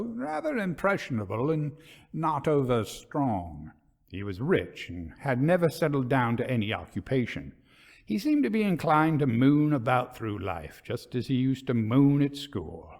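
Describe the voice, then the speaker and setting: A man playing a grave British colonel in his forties, speaking slowly and deliberately in a ghost story. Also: medium pitch, vocal fry, heavily acted.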